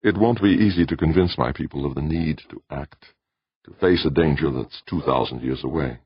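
A man's voice: a bass voice, normal speed, husky, no echo, heavily acted. Man voicing a tense, snappish human leader. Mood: subdued. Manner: snappish, urgent. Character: tense human leader facing a grave choice. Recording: dull dry recording.

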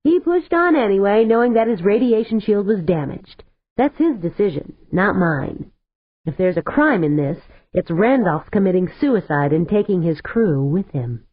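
A woman's voice: medium pitch, animated, moderate pace, dry recording, voice pushed up, natural voice. A woman voicing a ruthless male tycoon who talks in a smug, dismissive way. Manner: sneering, dismissive. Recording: tinny phone-like sound.

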